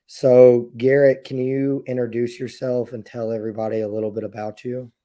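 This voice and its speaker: A man's voice: medium pitch, little pitch movement, normal speed, warm tone. A male podcast host who is losing his voice, asking easygoing questions in casual chat.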